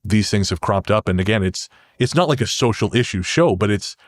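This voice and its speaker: A man from Canada speaks as an interview guest. His voice is on the low side and sounds dry and close. He talks reflectively, restarting phrases as he works through a thought. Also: expressive, a little fast, no echo. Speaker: podcast guest, horror fiction creator. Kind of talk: conversational interview talk.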